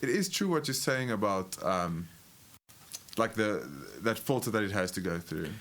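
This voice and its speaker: A man's voice: fairly low pitch, lively intonation, moderate pace, a bit bright. A man hosting a casual podcast chat, often repeating words as he starts a point. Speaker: podcast host.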